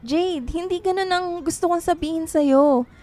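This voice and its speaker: Woman acting a high-voiced, weary young girlfriend. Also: moderate pace, mellow. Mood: pleading, upset. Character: weary, heartbroken girlfriend who writes love stories. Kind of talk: radio drama acting in Tagalog.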